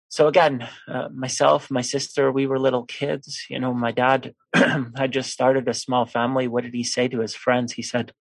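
A man in his forties from Poland, a podcast guest telling a personal story in a lively conversational way and acting out the people he quotes. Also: mid-range voice, breathy edge, no room sound. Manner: animated storyteller who acts out quoted voices.

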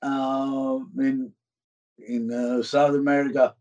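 A man with a Finnish accent, a band member guesting on a podcast, speaking halting English and searching for words. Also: mid-range voice, level tone, dark tone. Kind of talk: casual interview chat.

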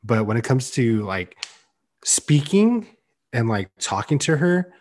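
A young man on a podcast, talking earnestly and loudly in a casual chat, with many "like"s. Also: mid-range voice, sing-song, takes it slowly. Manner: loud, earnest, heavy use of "like". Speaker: podcast co-host.

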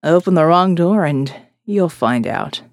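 A woman's voice: sits low, lively intonation, a little slow, creaky, no echo, studio quality, exaggerated voice. A woman playing an anxious, guarded male maintenance worker in audio fiction, terse and ominous. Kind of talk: audio fiction character acting.

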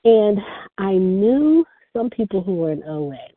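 A soft-spoken woman telling her personal recovery story as the speaker at a phone meeting. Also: medium pitch, sing-song, a little slow, slightly rough, dry recording. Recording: phone line.

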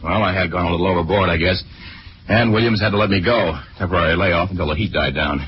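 Actor as brash young reporter, noisy old radio. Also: male voice, low-pitched, fast talker, lightly acted. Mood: cocky. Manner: combative, slangy, taunting. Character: brash, cocky young male newspaper reporter with a grudge. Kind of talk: 1940s radio drama acting. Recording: noisy, muffled 1940s radio recording.

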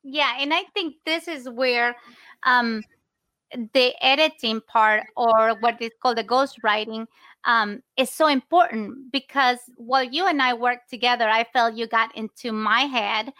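A woman with a Spanish accent hosts a casual interview, her voice high and bright. She talks effusively with plenty of fillers, and the recording is dry and close. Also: a little slow, no echo. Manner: warm, effusive and grateful, with fillers. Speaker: podcast host.

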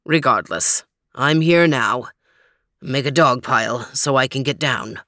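A woman voicing a big, pompous male dog detective in a storybook, with a deep, booming voice and a wordy, leisurely manner. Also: measured pace, fairly bright tone, no echo, studio quality. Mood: smug, droll. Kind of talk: storybook character reading.

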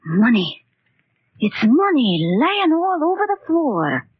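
Husky, excitable nosy tenant on old radio. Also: female voice, sits high, takes it slowly, dry recording, heavily acted. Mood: suspicious, intrigued. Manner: excitable, folksy, ungrammatical speech. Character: nosy, bossy, working-class woman tenant, uneasy. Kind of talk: 1940s radio drama acting. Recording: dull, lo-fi 1940s radio recording.

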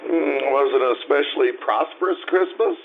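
Actor as an easygoing, curious husband, tinny sound. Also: male voice, mid-range voice, a little fast, vocal fry, natural voice. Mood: musing, prompting. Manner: musing, gently prompting. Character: curious, easygoing husband drawing out his wife's memories. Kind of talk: old-time radio drama acting. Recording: tinny, lightly noisy radio-play recording.

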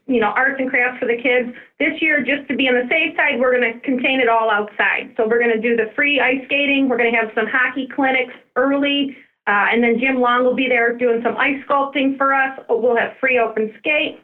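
High-voiced woman on a phone line. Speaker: recreation department representative, radio guest. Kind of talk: casual phone interview. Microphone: telephone. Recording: muffled narrow phone line.

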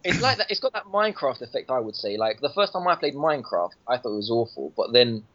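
A man's voice: mid-range voice, sing-song, quick pace, slight background noise. Rambling man on a lo-fi podcast. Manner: rambling, restarts often, laughs at own jokes. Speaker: podcast guest reviewing a game. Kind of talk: casual gaming podcast chat. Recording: lo-fi podcast audio.

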